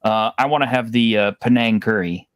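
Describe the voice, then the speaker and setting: A man's voice: on the low side, moderate pace, no echo, clean studio sound. A man in a casual stream chat, chatty and joking.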